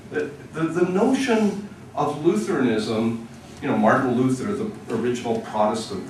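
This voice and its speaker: Booming man with a lively, halting delivery. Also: a little hiss or hum. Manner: hesitant, repeats words. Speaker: church board member. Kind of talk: casual reflection to a congregation. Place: church service. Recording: echoey hall from far off.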